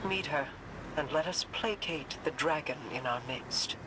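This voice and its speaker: An actor playing a composed, brooding sorcerer and scholar in a video game, measured, commanding and contemplative. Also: male voice, fairly high pitch, background noise, lightly acted. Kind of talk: dramatic video game dialogue. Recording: loud game music behind.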